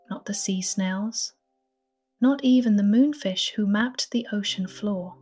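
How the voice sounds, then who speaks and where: Slow, hushed woman telling bedtime stories. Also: mid-range voice. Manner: gentle, soothing, partly whispered. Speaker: bedtime story narrator. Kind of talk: soft, hushed bedtime story narration.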